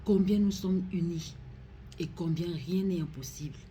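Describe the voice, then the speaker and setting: Low, slow-spoken Cameroonian woman making an appeal. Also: dark tone, slight background noise. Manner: grave, pleading, deliberate phrasing. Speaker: regional councillor making a public appeal. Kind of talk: solemn public address.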